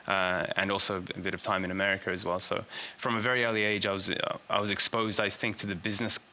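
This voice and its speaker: A man who grew up between England and Saudi Arabia, answering questions in an interview. His voice sits fairly low with a very bright, tense edge. He talks haltingly and restarts his sentences often. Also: level tone. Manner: halting, full of restarts and fillers. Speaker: business strategist.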